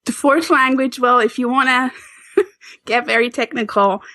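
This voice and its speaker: A woman's voice: on the high side, measured pace, bright, forceful tone, no echo. A Swiss woman with a Swiss German accent, chatting casually as a podcast guest, laughing often and restarting sentences. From Switzerland.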